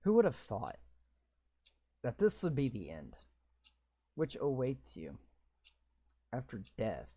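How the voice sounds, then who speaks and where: A man reading a game's story text aloud in a slow, deliberate way, breaking off for blunt, sweary, sarcastic asides. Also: medium pitch, wildly swooping pitch, dark tone, husky. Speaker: gamer reading a visual novel aloud. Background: game music.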